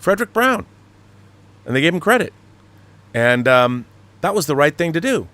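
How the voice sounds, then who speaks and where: A man's voice: fairly high pitch, big pitch swings, moderate pace, a bit bright, no room sound. A man hosting a live talk show, giving emphatic, opinionated commentary with rhetorical questions.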